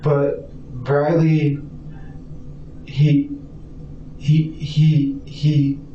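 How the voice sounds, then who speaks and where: Male American interview suspect, hesitant and repetitive, flat delivery, picked up by a distant mic in a roomy space. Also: on the high side, extremely slow. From Arizona, United States. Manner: hesitant, stammering, repeating words. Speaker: suspect being questioned by police. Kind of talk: police interview answers. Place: police interview room. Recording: distant roomy mic, dull audio, faint noise.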